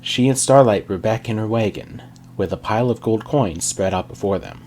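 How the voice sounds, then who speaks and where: A man's voice: on the low side, moderate pace, a little mellow, a little hiss or hum. A man reading a scripted story as narrator in an understated way, never bombastic. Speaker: amateur reader. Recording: music behind the voice.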